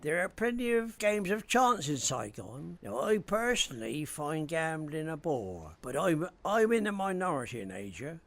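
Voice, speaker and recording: A man's voice: fairly high pitch, sing-song, moderate pace, few pauses. A man playing a suave, sly official. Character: suave adult male shipping official. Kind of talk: amateur radio play acting.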